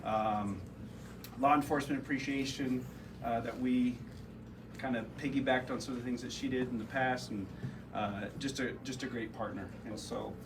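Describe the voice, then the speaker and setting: A man with a Midwestern accent emcees a ribbon cutting for the chamber, informal and full of ums and uhs. He is picked up from across a noisy room at an average pitch. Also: fairly even intonation, moderate pace. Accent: Midwestern American. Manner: joking then sincere, filler-heavy. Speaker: chamber of commerce representative. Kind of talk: casual ceremony emcee remarks. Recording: distant mic, noisy event room.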